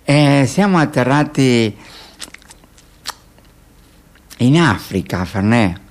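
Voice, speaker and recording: A man playing a solemn, eager roving reporter giving a halting, grave live report in radio theatre. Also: mid-range voice, pitched below the performer's own voice. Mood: earnest.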